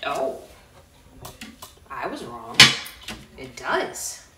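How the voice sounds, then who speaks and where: A woman's voice: very deep voice, wildly swooping pitch, extremely slow. An American woman in her thirties giving casual, playful, chatty unboxing commentary in a living room, recorded from across the room. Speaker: vlogger. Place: carpeted living room indoors. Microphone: camera a few meters away. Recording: distant echoey room, loud clattering handling noise.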